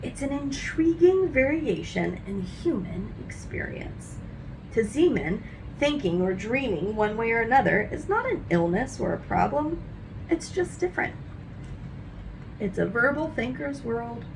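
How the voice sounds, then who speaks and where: A woman with a fairly high, very animated voice reads a children's nonfiction book aloud. Her delivery has a marked creak at times and careful, teacherly phrasing. A faint noise with a low rumble sits behind her. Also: measured pace. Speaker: read-aloud host.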